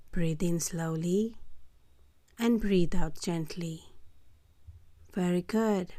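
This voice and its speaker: A woman gently guiding children through a scripted bedtime meditation, soothing and hushed, often close to a whisper, in short phrases with pauses. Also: fairly low pitch, dry recording. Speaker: host of a children's sleep meditation.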